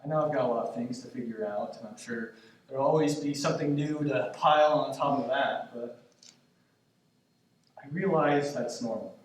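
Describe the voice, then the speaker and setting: A man's voice: mid-range voice, normal speed, lightly acted. A man acting a tender grandson in his twenties in a stage monologue, confiding in his departed grandmother in a reflective, hesitant, conversational way. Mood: tender, reassuring. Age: 20s. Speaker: stage actor in a student play. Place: indoor stage, recorded from afar. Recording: echoey, distant stage recording with noise.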